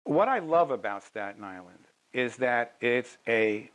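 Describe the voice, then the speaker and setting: Male documentary interviewee, average pitch, deliberate and measured phrasing. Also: animated, a bit bright, low-quality recording. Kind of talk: personal testimony in a documentary.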